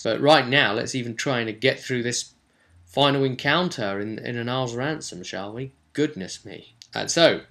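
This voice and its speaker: A man's voice: mid-range voice, fairly bright tone. A man giving an informal, chatty, emphatic recap as a tabletop game master and vidcast host.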